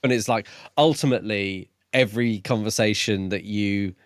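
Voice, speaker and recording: Low-voiced man in casual book chat. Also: moderate pace, no echo, studio quality. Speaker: podcast co-host.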